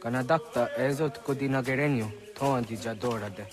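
A man acts out a young male hunter in a video game drama. He is earnest and sounds medium in pitch. Music plays clearly behind his voice. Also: a little fast, lightly acted.

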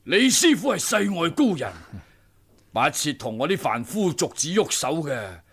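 A man's voice: fairly high pitch, big pitch swings, unhurried, gravelly, a bit bright, slightly rough, exaggerated voice. An actor playing a combative martial-arts master in his sixties in a Hong Kong Cantonese radio drama. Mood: challenging, jealous.

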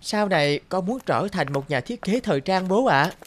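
A woman's voice: lowish voice, lively intonation, normal speed, slight background noise, natural voice. A woman playing a sweet, devoted little girl in a cartoon, with a pleading, childlike manner. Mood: sweet, eager. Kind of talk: cartoon dialogue acting.

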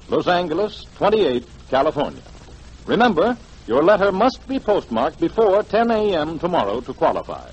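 Male American announcer, 1940s radio promo, formal, high-pitched and lively, muffled vintage recording. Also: slightly rough. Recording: dull 1940s radio broadcast.

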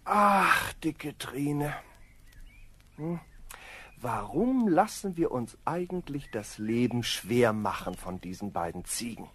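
An actor playing a lazy, slow-witted young farm lad in a children's fairy-tale radio play, musing in sluggish, self-satisfied hums. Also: male voice, medium pitch, very animated, fairly bright tone, husky, heavily acted. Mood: musing, complaining.

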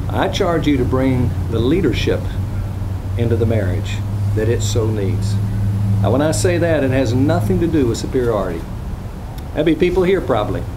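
A man's voice: mid-range voice, background noise. A man officiating an outdoor wedding, earnestly charging the bride and groom in a sermon style, recorded from a distance outside a stone church. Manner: earnest, exhorting, repeats "I charge you". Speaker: officiating pastor.

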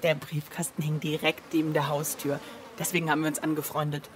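An actress in a radio play voicing a female digital device, measured, reflective and a little detached. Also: deep voice, flat delivery, noisy background, close to an everyday voice. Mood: fond. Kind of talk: German radio play acting.

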